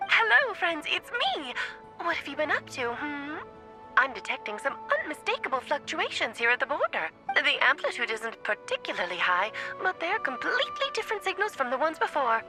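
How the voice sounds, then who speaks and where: A woman's voice: high-pitched, very animated, moderate pace, pushed and bright, heavily acted. A woman voicing a chirpy, precise young female researcher in a fantasy video game. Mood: perky, curious. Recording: game music behind.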